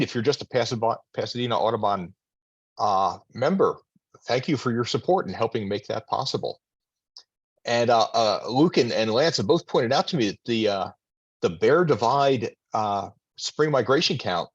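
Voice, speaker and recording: A man's voice: mid-range voice, breathy edge, dry recording, dull audio. A man making casual, rambling remarks to his society's members on a video call. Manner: rambling, full of fillers and restarts.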